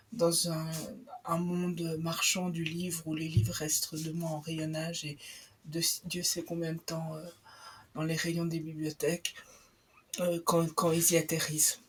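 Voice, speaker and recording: A woman's voice: deep voice, flat delivery, a little slow, breathy edge, rough recording. A young woman on a radio book show, talking about a book with many fillers and restarts. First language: not Italian. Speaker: guest presenting a book. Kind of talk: live radio book chat.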